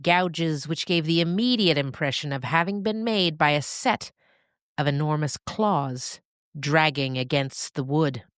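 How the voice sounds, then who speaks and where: A woman narrating a scripted story expressively, with dramatic pauses. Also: sits low, no echo, clean studio sound.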